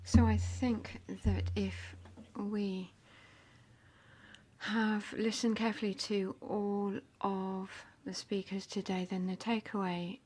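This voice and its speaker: A woman interpreting a speech live in a mid range voice. She speaks quietly, speeding up and slowing down as she follows the speaker. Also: mid-range voice. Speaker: simultaneous interpreter.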